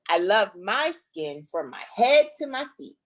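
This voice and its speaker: A woman from Flint in the United States, an author reading her children's book aloud with deliberate, playful expression. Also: mid-range voice, sing-song, fairly bright tone.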